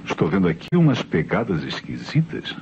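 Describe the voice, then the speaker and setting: An actor playing a composed, probing police inspector with formal, measured questioning in old radio drama style. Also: male voice, mid-range voice, wildly swooping pitch, vocal fry. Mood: pondering. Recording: muffled, faintly noisy old radio recording.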